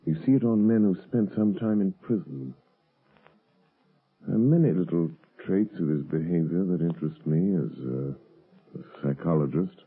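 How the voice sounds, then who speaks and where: A suave, probing gentleman sleuth in a vintage radio mystery. His voice is low and husky, with a measured, needling delivery, heard through a narrow, muffled old recording. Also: lively intonation. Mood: intrigued. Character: urbane, probing male amateur sleuth and psychologist. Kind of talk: 1930s-40s radio mystery acting.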